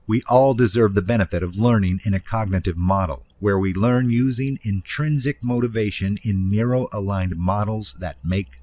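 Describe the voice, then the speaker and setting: A man reading a nonfiction audiobook in an instructive, emphatic way, stressing key phrases deliberately. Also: fairly low pitch, a little mellow. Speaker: author narrating own audiobook. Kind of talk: scripted nonfiction audiobook narration.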